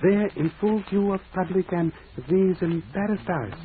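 An actor playing a wise, polite middle-aged detective, speaking in clipped phrases with dropped articles and formal proverbs, in 1940s radio acting style. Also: male voice, high voice, fairly even intonation, unhurried. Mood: calm, formal. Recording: tinny hissy old radio recording.

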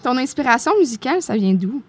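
A woman asks an interview guest casual questions. Her voice sits fairly high, and she often stumbles and restarts mid-question. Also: expressive, quick pace, darkish tone, husky.

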